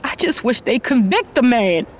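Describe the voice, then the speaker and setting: Actress as high-voiced, anguished grieving mother. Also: big pitch swings, a little hiss or hum. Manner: emotional, wounded, halting. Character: grieving, bitter mother of a slain son. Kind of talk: audio drama acting.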